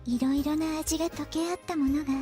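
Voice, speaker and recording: A woman's voice: high-pitched, fairly even intonation, racing, lightly acted. A woman voicing a composed, mature big-sister catgirl in a visual novel game, with ladylike phrasing over music. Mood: serene.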